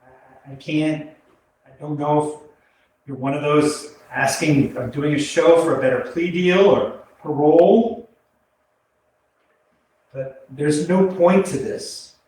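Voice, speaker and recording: A man's voice: a little high, slow, long pauses, darkish tone. A man in a staged play reading, acting a victim who questions a killer in a searching, hesitant manner. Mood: pleading, searching. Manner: searching, hesitant, repeats phrases. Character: probing male questioner, a killer's victim demanding remorse. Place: arts center stage, indoors, recorded from afar. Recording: very echoey hall, distant mic, background noise.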